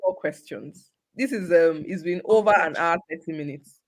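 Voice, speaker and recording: A woman's voice: on the low side, moderate pace. A Nigerian woman moderating a casual panel, reading out questions with frequent laughter.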